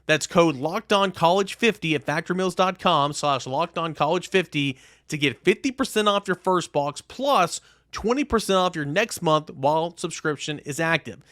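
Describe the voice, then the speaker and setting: A man's voice: on the high side, a bit bright, no echo. Man hosting a sports podcast, dry close sound.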